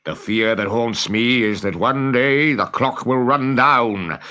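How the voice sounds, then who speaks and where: A man voicing a villainous pirate captain in a children's storybook, growling in a drawn-out, theatrical, menacing way. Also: medium pitch, pushed and bright. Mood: brooding, fearful. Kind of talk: dramatised children's storybook reading.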